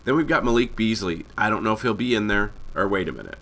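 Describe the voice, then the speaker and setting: A hoarse man who is losing his voice, hosting a casual fantasy basketball breakdown that rambles and corrects itself as he talks to viewers. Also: lowish voice, animated, quick pace, fairly bright tone, a little hiss or hum. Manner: rambling, self-correcting, addresses viewers as guys. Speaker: fantasy sports analyst host. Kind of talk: casual screen-share breakdown.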